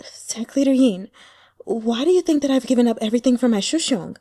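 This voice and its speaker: A woman's voice: on the high side, expressive, brisk, mellow, voice pushed up. A woman voicing a timid, lovelorn young female cultivator in an audiobook, small-voiced, hesitant and plaintive. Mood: plaintive, confused. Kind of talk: audiobook character acting.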